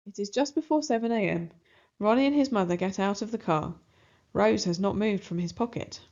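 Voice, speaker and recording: A woman narrates a children's story in an expressive read-aloud style. Her pitch is average for a woman. The recording is a little muffled. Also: brisk. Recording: slightly dull, muffled recording.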